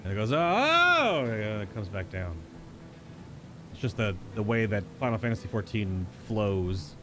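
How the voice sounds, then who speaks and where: A man's voice: lowish voice, fairly even intonation, moderate pace. A man giving wry, rambling live reaction commentary as he plays a game. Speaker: game streamer. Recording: music behind the voice. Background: game music.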